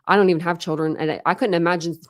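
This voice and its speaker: A woman's voice: fairly low pitch, steady tone, very fast, dry recording, clean studio sound. Chatty young woman losing her voice. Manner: rambling, chatty, many fillers and restarts. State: losing her voice, throat clearing. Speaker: true crime streamer. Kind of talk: casual livestream chat.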